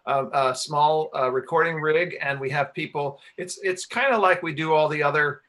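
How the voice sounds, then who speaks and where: A man's voice: on the high side, normal speed, bright, forceful tone. A man answering questions as a radio play writer and director at a live Q&A, explaining things in a hesitant, rambling way. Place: talk before a live audience.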